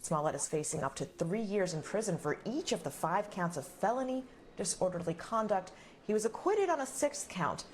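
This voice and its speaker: A woman's voice: medium pitch, very animated, normal speed, few pauses, a little hiss or hum. Woman anchoring live television news. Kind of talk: live broadcast news presenting and interviewing.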